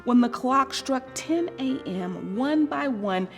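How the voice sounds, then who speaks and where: A woman reading a children's story aloud in an expressive, scripted style, with deliberate dramatic emphasis and pauses. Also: high-pitched, soft background music.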